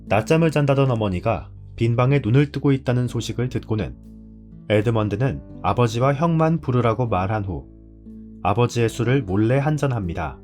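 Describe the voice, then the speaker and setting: A man's voice: medium pitch, lively intonation, fairly quick, darkish tone, faint music behind. A man hosting and reading a scripted narration. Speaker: host.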